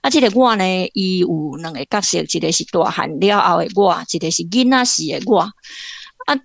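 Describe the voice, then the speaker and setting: A woman's voice: fairly low pitch, expressive, moderate pace, creaky, no echo. A woman with a Taiwanese accent giving informal literary commentary as a guest professor, explaining point by point.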